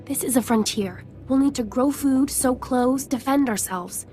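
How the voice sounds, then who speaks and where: A woman's voice: high voice, moderate pace, darkish tone, soft background music, close to an everyday voice. An actress playing an earnest, conscientious young settler woman in a game cutscene. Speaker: voice actor in a video game. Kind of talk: scripted game cutscene dialogue.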